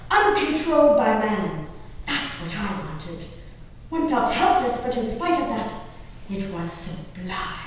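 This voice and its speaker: A woman playing a genteel, dramatic English gentlewoman diarist in a declamatory stage reading, stretching out her emphatic phrases. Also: sits high. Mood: rapturous. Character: genteel, dramatic English gentlewoman diarist, easily appalled, enraptured by wild nature. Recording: echoey hall from far off, faint noise.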